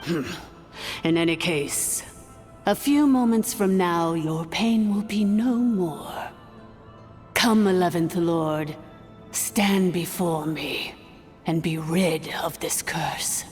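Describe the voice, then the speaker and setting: A woman's voice: lowish voice, slow pace, a little mellow, faint music behind. A woman voicing an ancient, serene priestess in a video game, stately, solemn and commanding. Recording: long cavernous reverb.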